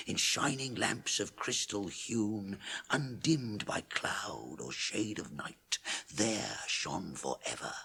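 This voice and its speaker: Deep, gravelly, solemn dwarf. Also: male voice, bright, forceful tone, full character voice. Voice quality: gravelly, husky. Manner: grave, declamatory, chanting old verse. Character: proud, solemn dwarf mourning his people's lost kingdom. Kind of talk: 1980s radio drama acting.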